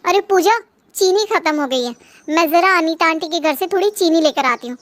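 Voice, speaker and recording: A woman's voice: extremely high pitch, animated, normal speed. A woman voicing an earnest, courteous young daughter-in-law in a cartoon moral story. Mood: cheerful polite.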